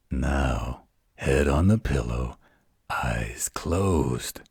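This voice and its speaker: A man playing a gentle, protective werewolf in a bedtime roleplay audio, speaking in a gravelly, husky, soothing voice. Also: rumbling low, dry recording, studio quality, full character voice. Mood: fond, firm. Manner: soothing, unhurried, tender.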